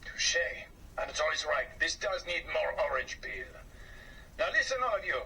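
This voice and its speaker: A man's voice: fairly high pitch, big pitch swings, moderate pace, vocal fry, bright, forceful tone, full character voice. A man voicing a pompous middle-aged male factory boss who speaks grandly and formally and drops in French words, heard on a distant mic with faint background noise. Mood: commanding.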